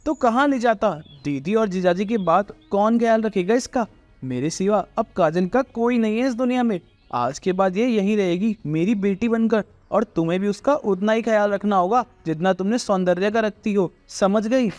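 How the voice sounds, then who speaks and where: Guy playing an earnest protective dad in a moral story, pretty high voice, firm. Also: moderate pace, a little mellow, no room sound, soft background music, lightly acted. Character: firm, protective, fair-minded father and uncle.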